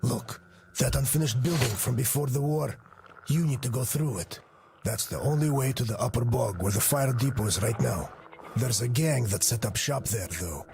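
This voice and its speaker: A man playing a wary but helpful wasteland scout in a video game, gravelly and matter-of-fact. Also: medium pitch, normal speed, a little mellow, soft background music, natural voice. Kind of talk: video game character acting.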